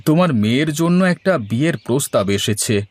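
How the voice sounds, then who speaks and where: A man's voice: medium pitch, expressive, normal speed, natural voice. A man voicing a middle-aged village father in a moral story cartoon, anxious to get his daughter married. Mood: matter-of-fact.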